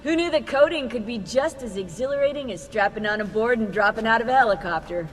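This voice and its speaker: A woman's voice: on the high side, fast, natural voice. A woman voicing an upbeat, enthusiastic young tech whiz in a video game. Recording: long reverb, faint music.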